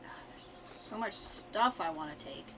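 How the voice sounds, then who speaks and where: A woman's voice: on the low side, animated, a little slow, whispered. A woman giving casual live gameplay commentary as a streamer, often muttering and thinking aloud. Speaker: game streamer.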